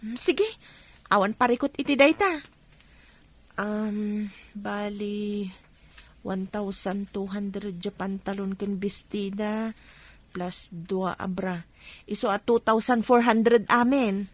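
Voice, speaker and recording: A woman playing a patient, soft-hearted village peddler in an Ilocano comedy radio drama, speaking with an Ilocano accent. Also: medium pitch, steady tone, slow, pauses often, dry recording. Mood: polite, businesslike.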